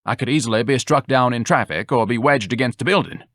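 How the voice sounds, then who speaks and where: A man's voice: medium pitch, fast, a bit bright, dry recording, close to an everyday voice. A man voicing a pompous, self-pitying fat young man who speaks in lofty, grandiloquent phrases. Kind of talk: audiobook dialogue.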